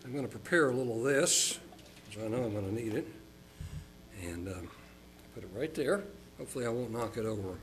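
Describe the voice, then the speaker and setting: An older man from Oklahoma preaching a sermon as a pastor, folksy and chatty, slowing down for emphasis. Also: expressive, slight background noise. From Oklahoma, United States. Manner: folksy and chatty, with chuckles and fillers; slows and rises in pitch for emphasis.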